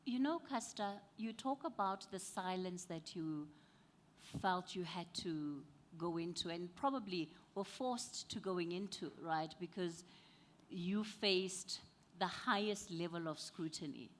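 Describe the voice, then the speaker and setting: A woman moderating a panel discussion, asking questions in a measured, thoughtful way. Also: fairly low pitch.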